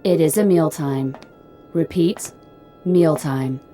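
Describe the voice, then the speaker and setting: Calm officious spaceship computer lady, lowish mellow voice, faint music, radio play. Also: takes it slowly. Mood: polite, flat. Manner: formal, clipped, emotionless phrasing. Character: polite, insistent female ship computer, calm and officious. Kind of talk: radio drama acting.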